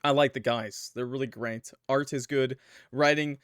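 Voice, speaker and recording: A young man giving casual, rambling let's-play commentary as a gaming YouTuber, chatty and quick to laugh, his voice a little worn. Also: medium pitch, fairly even intonation, a bit bright, dry recording, clean studio sound. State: losing his voice.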